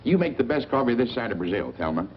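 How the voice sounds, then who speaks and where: A man's voice: medium pitch, very animated, fast talker, close to an everyday voice. An actor playing a wry, gossipy small-town newspaperman, talking in a folksy 1940s film manner. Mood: folksy teasing. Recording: dull, noisy old film soundtrack.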